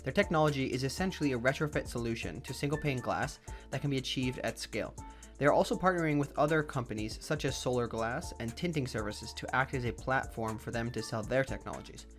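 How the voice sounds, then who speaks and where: A man hosting a podcast, reading a scripted intro and then asking upbeat, rambling interview questions. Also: medium pitch. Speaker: podcast host.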